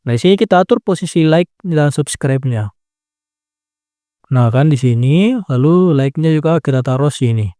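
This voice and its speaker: A man's voice: on the high side, lively intonation, normal speed, warm tone, no room sound, studio quality. A young man giving a casual, chatty step-by-step editing tutorial, laid-back with lots of filler tags. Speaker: tutorial creator. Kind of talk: casual screen-recording tutorial.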